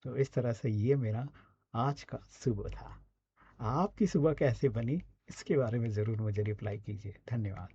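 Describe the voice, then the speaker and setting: Male Indian presenter-teacher, mellow and a touch husky, conversational and instructive, on a dull lo-fi recording. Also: mid-range voice, unhurried. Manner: instructive, often adds short check-in tags. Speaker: radio presenter giving a speaking and writing lesson.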